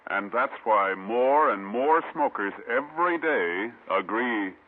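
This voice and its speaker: A man reading scripted announcements and sales copy as a formal, measured 1950s radio announcer. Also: mid-range voice, lively intonation. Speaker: commercial announcer. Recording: muffled, narrow vintage radio recording.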